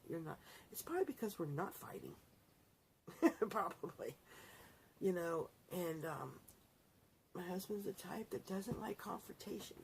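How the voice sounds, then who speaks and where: A woman's voice: on the low side, creaky, darkish tone, a little hiss or hum, rough recording. A middle-aged woman vlogger chatting casually to her viewers in a rambling, self-correcting way. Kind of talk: casual talk to camera.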